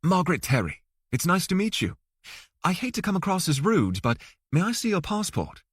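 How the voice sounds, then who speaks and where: A man's voice: sits high, sing-song, normal speed, a bit bright, breathy edge, no room sound, clean studio sound, pitched above the performer's own voice, full character voice. A man voicing a formal, direct, heavyset woman lawyer in an audiobook. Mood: brisk, formal. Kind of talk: audiobook character dialogue.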